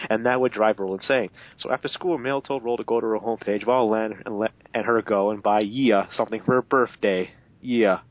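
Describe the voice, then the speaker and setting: A man's voice: mid-range voice, no room sound, muffled recording. A man doing a mocking amateur dramatic reading, breaking off into exasperated, sarcastic commentary. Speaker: amateur fan fiction reader and commentator.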